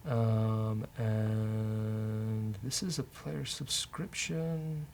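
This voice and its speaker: A man's voice: on the low side, fairly even intonation, very slow, a little mellow. A man hosting a casual coding session, thinking aloud and muttering hesitantly as he works. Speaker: developer and show host. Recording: faint background noise.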